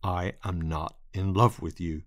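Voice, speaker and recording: A man's voice: low-pitched, moderate pace, a bit bright, dry recording, clean studio sound. A man acting out a cold, exasperated man rejecting a lover, with firm, clipped delivery in a dramatized monologue.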